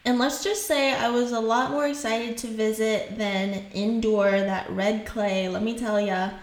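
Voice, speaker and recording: A woman's voice: a little high, fairly even intonation, measured pace, roomy sound. A young woman from Haiti whose first languages are French and Creole, chatting casually as a podcast host and laughing often.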